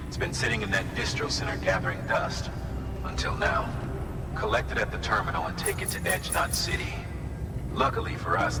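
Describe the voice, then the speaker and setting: An actor playing a stern, grave commander who gives deliberate, emphatic briefings in a video game. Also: male voice, on the low side. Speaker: mission commander. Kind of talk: scripted video game dialogue, mission briefing. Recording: echoey hall, distant, loud music behind. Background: loud music.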